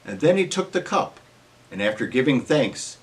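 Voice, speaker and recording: A man's voice: mid-range voice, very animated, moderate pace. A man reading scripture aloud as narrator in a formal storytelling way, his phrases falling at the end.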